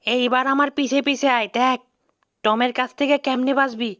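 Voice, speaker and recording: A performer voicing a bossy, boastful, easily panicked cartoon mouse uncle in colloquial Bangladeshi speech, excitable and repetitive. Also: high-pitched, moderate pace, no room sound, lo-fi sound. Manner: excitable, repeats words when panicking. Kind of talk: comic cartoon dub.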